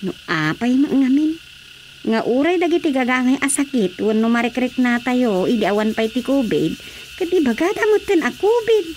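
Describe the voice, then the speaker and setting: A chatty, concerned village neighbour in an Ilocano radio drama. Her voice is very high and has an Ilocano accent, with some noise on the recording. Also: animated, normal speed, darkish tone. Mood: opinionated. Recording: faintly noisy radio drama track.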